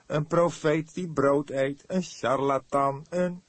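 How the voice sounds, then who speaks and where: A man's voice: fairly high pitch, steady tone, slow, no room sound, pitched above the performer's own voice. A man voicing a surly, scornful young police detective in an audiobook, snappish and incredulous. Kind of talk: audiobook character dialogue.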